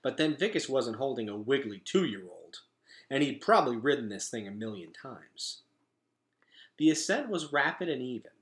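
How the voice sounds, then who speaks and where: Animated man reading a story aloud. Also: mid-range voice. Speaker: storybook reader. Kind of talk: expressive read-aloud narration.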